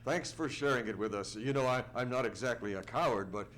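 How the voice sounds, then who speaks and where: A man plays a genial announcer on an old-time radio show. His middle-pitched voice is chatty and folksy, with the assured ease of a sponsor's salesman. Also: a little fast, fairly bright tone, close to an everyday voice. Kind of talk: 1940s radio sponsor spot. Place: theatre stage, live radio play.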